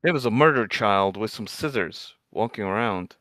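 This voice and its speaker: A man's voice: lowish voice, animated, normal speed, a bit bright, no echo. A man co-hosting a game playthrough, chatting casually with wry, joking asides and free swearing. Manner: wry, riffing, swears freely. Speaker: co-host commenting on a game playthrough.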